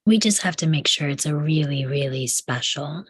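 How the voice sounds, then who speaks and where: A woman playing a poised, competitive bridesmaid, politely condescending, with a husky voice, in a comedy table read. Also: low-pitched, moderate pace.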